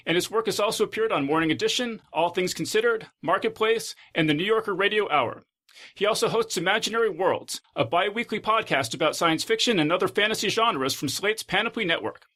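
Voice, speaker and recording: Male podcast host, slightly high bright voice, conversational interviewer.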